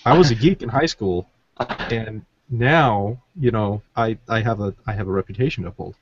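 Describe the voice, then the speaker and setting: A man's voice: on the low side, normal speed. A man hosting a casual podcast, bantering and teasing in a joking manner. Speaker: podcast host. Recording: muffled, dull lo-fi audio.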